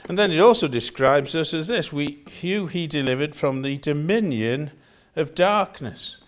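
A man's voice: a little high, expressive, measured pace. An older man from Wales with a Welsh accent, preaching a sermon in an earnest style and repeating phrases for emphasis.

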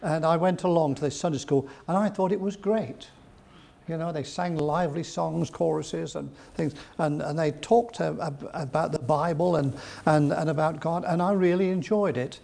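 Older man, gravelly voice, fairly high pitch; rambling, chuckling interview guest. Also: normal speed, darkish tone. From England. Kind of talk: storytelling interview.

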